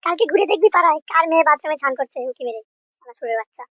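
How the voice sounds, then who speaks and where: Man acting a high-pitched, exasperated cartoon dad. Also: lively intonation, very fast, a bit bright, dry recording. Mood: angry. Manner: scolding, sarcastic, crude. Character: exasperated, harsh father shamed by his naughty son.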